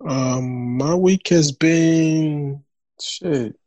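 A man's voice: a little high, extremely slow, dark tone, no echo, highs cut off. A man, co-hosting a podcast from home in a laid-back, slangy way. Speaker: podcast co-host. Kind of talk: casual podcast chat.

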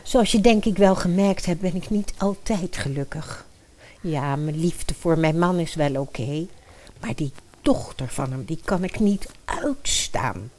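A woman's voice: on the low side, lively intonation, a little slow, darkish tone. A woman playing a chic, jealous, scheming stepmother in a modern fairy-tale radio play. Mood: sugary, resentful.

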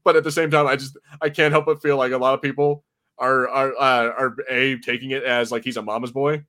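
A man chatting informally as a podcast host, jokey and loose, with halting restarts as he talks. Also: medium pitch, level tone, bright, forceful tone. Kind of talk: casual chat.

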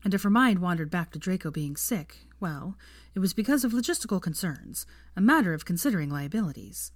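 A woman reading fan fiction aloud in an expressive audiobook style of narration. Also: lowish voice, fairly quick, dry recording, clean studio sound.

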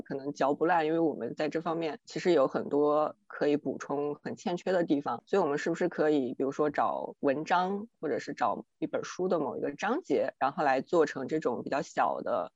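Higher-pitched man, dull dry recording. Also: creaky, darkish tone, no echo. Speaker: podcast co-host. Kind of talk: casual discussion. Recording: dull, lo-fi close sound.

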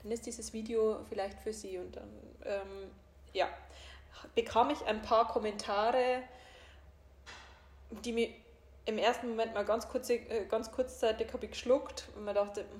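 Bright-voiced woman with a German regional dialect. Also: a little high, steady tone, a little slow. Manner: open, laughs easily, many fillers. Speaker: coach. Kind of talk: casual conversation. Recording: echoey room.

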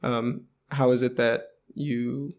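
A man interviewing a guest in a relaxed, casual way, often pausing with ums. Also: medium pitch, creaky.

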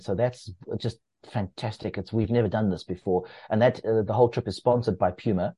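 A man who edits a running magazine, talking as a guest in a relaxed, explanatory way with plenty of fillers. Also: husky, no room sound. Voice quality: bass voice. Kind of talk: casual interview talk.

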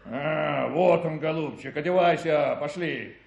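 High-pitched, creaky bullying officer. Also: male voice. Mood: mocking. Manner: shouted, drawn-out commands. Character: bullying, mocking officer come to arrest a fugitive. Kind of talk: radio drama acting. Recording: dull, echoey, loud music behind.

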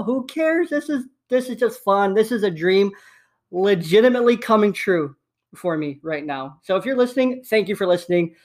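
A man in his twenties with a slight lisp hosting a casual solo podcast at home, upbeat and rambling, repeating himself. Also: high-pitched. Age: 20s. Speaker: podcast host. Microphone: USB desktop microphone.

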